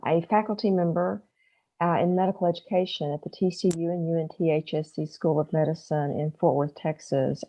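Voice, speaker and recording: A woman's voice: sits low, mellow. A woman, introducing herself earnestly as a psychiatrist on a panel. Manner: earnest, enthusiastic. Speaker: psychiatrist and medical faculty. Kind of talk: video call introduction. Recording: dull, lo-fi audio.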